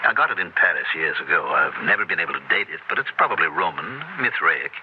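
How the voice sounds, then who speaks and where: A courteous occult professor with a deep voice and a measured, scholarly manner that hides something sinister. It is seventies radio horror drama, dulled in tone and clearly noisy. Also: male voice, very animated. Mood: courteous, professorial. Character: smooth, courteous, secretly sinister male university professor of witchcraft. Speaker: actor in a radio play. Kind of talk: 1970s radio drama acting. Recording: noisy, muffled 1970s radio broadcast.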